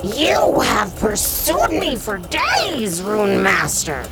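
A woman's voice: mid-range voice, very animated, extremely slow, creaky, loud music behind the voice, full character voice. A woman voicing a proud, wrathful giant owl spirit in a fantasy audio drama, solemn and imperious, with archaic phrasing. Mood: accusing.

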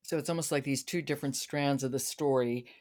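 A woman's voice: very deep voice, steady tone, fairly quick, a little mellow. Woman hosting a conversational book podcast. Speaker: podcast host.

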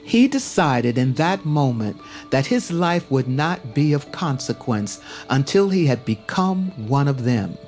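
A man narrating a scripted dramatic story in a measured, literary manner. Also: medium pitch, a little mellow.

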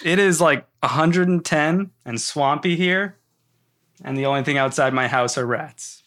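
A man's voice: a little high, moderate pace, a bit bright. A man hosting a podcast, friendly and conversational while interviewing guests. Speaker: podcast host. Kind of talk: interview and narration.

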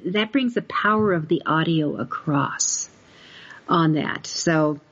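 Low-voiced woman podcast host, lo-fi sound. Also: slow pace, fairly bright tone, slightly rough. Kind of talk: conversational interview podcast about book publishing. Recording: dull lo-fi podcast audio.

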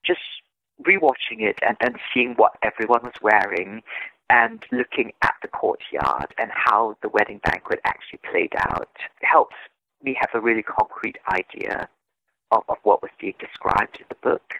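A man from Singapore, speaking as a guest in a casual interview and explaining his work in an animated, reflective way. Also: fairly low pitch, moderate pace, vocal fry, no echo. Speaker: guest translator. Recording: dull, dry lo-fi sound.

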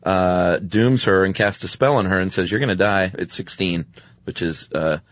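A man's voice: deep voice, normal speed, no echo, studio quality. A man co-hosting a podcast, retelling stories in casual chat with a droll, offhand manner. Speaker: podcast co-host.

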